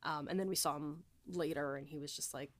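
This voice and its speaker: A woman's voice: sits low, fairly even intonation, fairly quick, no echo. A woman hosting a casual podcast chat in a playful, giggly manner. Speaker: podcast host.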